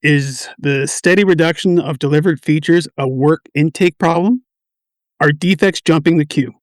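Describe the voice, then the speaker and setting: Guy acting office team member in a radio play, businesslike, lightish male pitch, dry sound. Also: normal speed, dry recording, close to an everyday voice. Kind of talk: amateur radio play dialogue.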